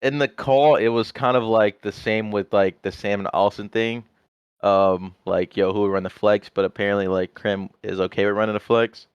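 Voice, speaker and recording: A man's voice: fairly low pitch, fairly even intonation, normal speed, smooth, dry recording. A young man, a guest pro player, chatting casually on a podcast and rambling with lots of "like". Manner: rambling, heavy use of "like". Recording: slightly muffled audio.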